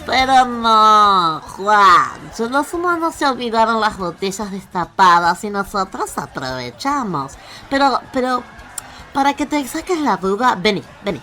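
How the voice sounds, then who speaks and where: A woman's voice: mid-range voice, sing-song, measured pace, sharp tone, faint music behind. A woman with an Argentine accent playing a tipsy, cheerful, carefree female slug in a radio fable, teasing and bubbly. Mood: carefree, coaxing. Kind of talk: radio fable acting with music bed.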